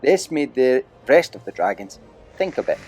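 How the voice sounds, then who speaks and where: A man's voice: mid-range voice, big pitch swings, moderate pace, darkish tone, soft background music. A man narrating an adventure audiobook as a dramatic, theatrical storyteller with emphatic delivery.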